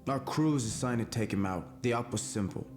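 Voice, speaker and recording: A man's voice: medium pitch, a little fast, over music, natural voice. A man playing a guarded, defiant soldier under debriefing in a video game cutscene, speaking in a clipped, matter-of-fact way.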